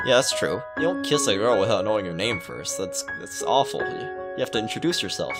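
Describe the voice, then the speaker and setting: A man's voice: on the low side, very animated, brisk. A man reading a visual novel aloud as a let's player, adding playful joking asides. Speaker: video game let's player. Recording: music under the voice. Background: game music.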